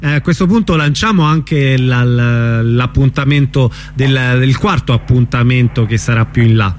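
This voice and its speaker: A man's voice: medium pitch, normal speed. A man hosting an informal radio interview, putting questions to his guest in a conversational way. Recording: radio broadcast with faint noise and hum.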